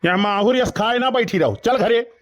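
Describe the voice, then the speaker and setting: A man's voice: high voice, moderate pace, heavy background noise, close to an everyday voice. A man voicing an irritable, scolding village father in a comedy cartoon, with an Awadhi accent. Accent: Awadhi, eastern Uttar Pradesh.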